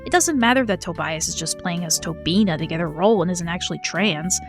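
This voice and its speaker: A woman narrating a scripted video essay in a measured, earnest way. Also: fairly low pitch, expressive, over music.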